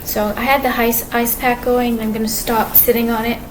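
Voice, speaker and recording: A quiet-voiced woman talks to camera in a loose, rambling way, often stopping and restarting her sentences. Her voice sits a little high. Steady room ambience hums clearly behind her. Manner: rambling, halting, many restarts and fillers. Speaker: vlogger. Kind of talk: casual monologue to camera. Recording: clear background ambience noise.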